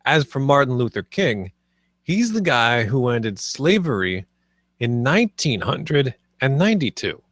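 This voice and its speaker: A man's voice: mid-range voice, very animated, measured pace, fairly bright tone, dry recording, studio quality. A man playing a casual, slangy, self-assured slacker bro in a comedy table read. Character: cocky, laid-back slacker bro pool cleaner.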